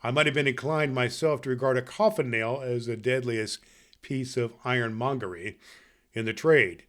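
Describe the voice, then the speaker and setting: A man's voice: mid-range voice, normal speed, close to an everyday voice. A man playing a jolly, kindly old Santa Claus, hosting a festive podcast and reading storybook tales in a hearty, grandfatherly way. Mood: storytelling. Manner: hearty, grandfatherly, addressing children warmly.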